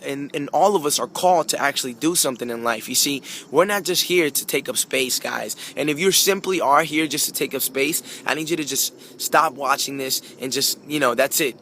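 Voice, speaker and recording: A man's voice: mid-range voice, sharp tone, a little hiss or hum. A man from Peru, a native Spanish speaker, giving an earnest motivational talk to camera, repeating phrases and addressing listeners directly. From Peru, moved abroad at age five.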